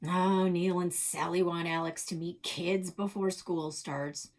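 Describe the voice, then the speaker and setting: A woman voicing a gruff, exasperated old grandfather in a children's storybook. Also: on the low side, fairly even intonation, measured pace, natural voice. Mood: stern, grumpy. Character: grumpy, concerned old grandfather.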